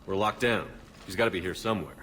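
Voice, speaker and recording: An actor playing a resolute, no-nonsense military commander in a video game, speaking in a terse, determined way. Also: male voice, deep voice, quick pace, fairly bright tone, slight background noise. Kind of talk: dramatic video game acting.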